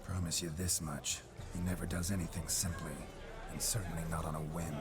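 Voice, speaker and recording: An actor playing a gruff, weary male monster hunter in a game cutscene, speaking in a terse, dry way. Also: low voice, level tone, a little fast, music in the background, lightly acted. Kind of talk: video game cutscene acting.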